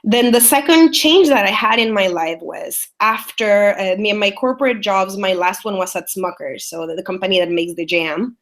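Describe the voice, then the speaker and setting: A woman's voice: mid-range voice, moderate pace, few pauses. A woman in her thirties from El Salvador with a Salvadoran Spanish accent, telling her story as a webinar guest, chatty with many fillers and restarts. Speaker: guest speaker. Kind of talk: webinar interview talk.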